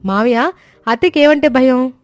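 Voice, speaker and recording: A woman's voice: high voice, moderate pace, no echo, faint music behind, lightly acted. A woman voicing a clever young daughter-in-law in a cartoon story, respectful yet teasing. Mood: sly, teasing. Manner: respectful but cheeky.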